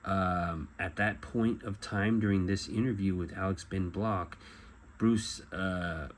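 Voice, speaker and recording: A middle-aged man giving a casual show-and-tell as a collector, talking in a halting, rambling way and often correcting himself. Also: deep voice. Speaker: collector presenting memorabilia. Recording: clear background noise.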